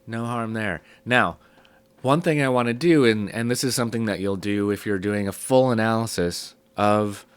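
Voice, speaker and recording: Man teaching theory while sick, low rough voice, relaxed ums, clean and dry. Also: normal speed, no echo. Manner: relaxed, thinking aloud with many ums. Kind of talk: casual spoken lesson.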